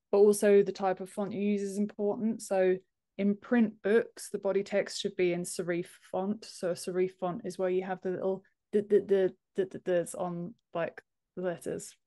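A woman's voice: fairly low pitch, warm tone. Woman explaining things casually. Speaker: podcast guest, author and book formatter. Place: home office room, indoors. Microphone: earphone headset on a video call.

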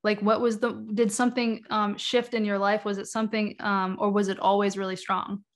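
A woman's voice: medium pitch, level tone, a little fast. A woman in her thirties speaks as a guest in a video-call interview from her home, talking over a webcam. She explains ideas carefully and at length, filling her sentences with "like" and "um". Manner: thoughtful, explains at length with many "like" and "um" fillers. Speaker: guest author on energy healing. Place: home room, indoors.

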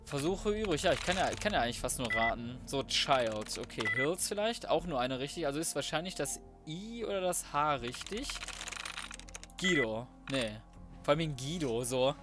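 Young male gamer, fairly high voice, slangy casual commentary, loud game music behind. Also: expressive, moderate pace. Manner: colloquial, slangy muttering to himself while playing. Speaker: let's play host. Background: loud game music, typing and gunfire.

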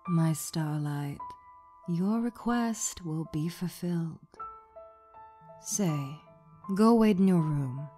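A woman voicing a towering gem matriarch in a comic dub, slow and condescendingly sweet, with regal menace. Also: sits low, lively intonation, mellow, soft background music. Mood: soft, indulgent.

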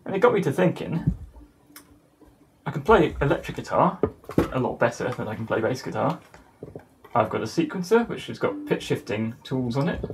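A man's voice: medium pitch, very animated, moderate pace, long pauses, noisy background. A middle-aged man giving a casual instructional talk to camera as a musician in a small home studio. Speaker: musician and songwriter presenting.

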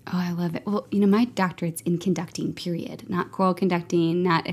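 A woman in her forties hosting a music education podcast, enthusiastic and quick to cheer her guest on over a video call. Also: fairly low pitch, steady tone, darkish tone, breathy edge. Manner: enthusiastic, effusive, laughs easily. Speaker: podcast host. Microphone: earbuds on a video call.

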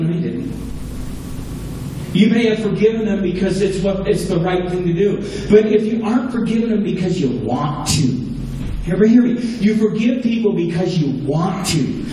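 A male pastor with a high, mellow voice delivers an earnest sermon, driving points home by repeating them. He is heard from far off in a small church with heavy echo and a faint hum underneath. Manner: earnest, repeats key phrases for emphasis. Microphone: far camera at back of room. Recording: echoey room, distant camera, faint hum.

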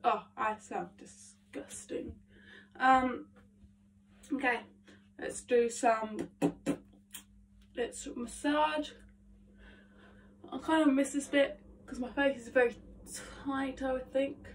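Young woman, congested and nasal, chatty self-talk, a bit high, filmed from across a bedroom with faint noise. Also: steady tone, takes it slowly, long pauses. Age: young adult. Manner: chatty, self-talking, lots of ums. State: congested, nasal from hay fever. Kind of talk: casual vocal warm-up vlog. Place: small attic bedroom at home. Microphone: phone camera across the room. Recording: faint room noise, distant from phone.

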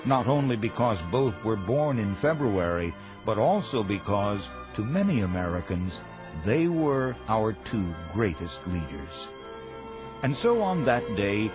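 Male documentary narrator, deep and animated, music playing loud behind. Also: unhurried. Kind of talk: scripted educational narration.

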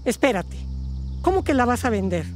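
A woman's voice: on the high side, very animated, moderate pace, a little mellow, a little hiss or hum. A woman playing an overly friendly, uneasy neighbour, hesitant and solicitous, in an audio drama. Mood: alarmed, hesitant. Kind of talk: naturalistic audio fiction acting.

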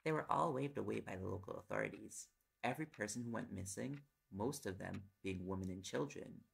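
A man's voice: fairly low pitch, fairly quick, creaky. A man playing a tired, affectionate young reporter boyfriend in an intimate audio roleplay, playful and coaxing in manner. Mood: absorbed, musing. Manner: playful, coaxing, rambling when on a topic. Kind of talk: intimate audio roleplay, sleep-aid acting.

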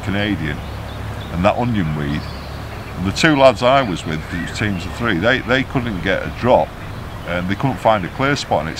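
Middle-aged northwest English angler, lowish voice, animated storyteller, noisy outdoor lapel recording. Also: male voice. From northwest England. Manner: animated storytelling with hand gestures. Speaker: carp angler. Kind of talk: casual explanation to camera. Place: outdoors by a lake under a tree.

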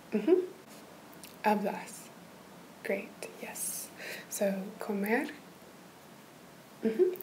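Slow, soft-whispering woman tutor. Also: a little high, creaky, slight background noise, low-quality recording. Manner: soft, patient, encouraging whisper. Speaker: language tutor. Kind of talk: whispered ASMR tutoring roleplay.

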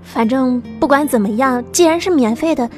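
A woman's voice: sits high, moderate pace, a little mellow, soft background music, lightly acted. A woman as an easygoing practical young woman. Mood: offhand.

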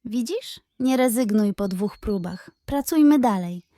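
Female seagull mentor, played by a woman, children's story. High voice, patient, kindly, encouraging, dry studio sound. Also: expressive, a little slow, mellow, dry recording. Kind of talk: children's bedtime audio story.

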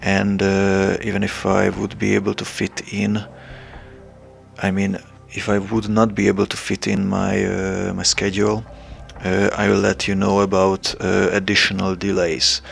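Slow, gravelly Hungarian-accented young man. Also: low voice, monotone, soft background music. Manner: hesitant, many filler pauses. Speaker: channel creator. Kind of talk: casual channel announcement.

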